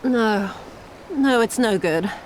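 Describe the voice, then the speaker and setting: A woman's voice: a little high, animated, takes it slowly, a little hiss or hum, rough recording. A woman playing a sadistic, imperious imperial commander in a video game cutscene, with a sneering, theatrical manner. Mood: dissatisfied brooding. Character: cruel, haughty, sadistic female imperial commander. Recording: music behind the voice.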